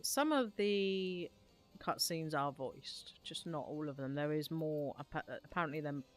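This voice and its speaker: A woman's voice: low-pitched, a little slow. A woman chatting casually and playfully as she plays on a gaming live stream. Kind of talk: casual live stream chat while playing. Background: faint music.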